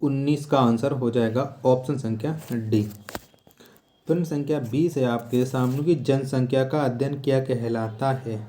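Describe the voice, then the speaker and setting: A man's voice: mid-range voice, fairly even intonation, moderate pace, warm tone, a little hiss or hum. A man teaching exam quiz material, reading out questions, repeating them rhetorically and giving the answers in an instructional way.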